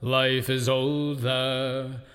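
A man's voice: medium pitch, flat delivery, extremely slow, fairly bright tone, clean tone, in a large hall. A man singing a folk song in layered a cappella style. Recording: layered vocal music track. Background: a cappella backing vocals.

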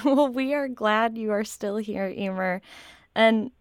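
Female radio host, friendly question-asker, slightly high voice, dry studio sound. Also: slow, dry recording. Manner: conversational, inviting questions. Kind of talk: radio interview.

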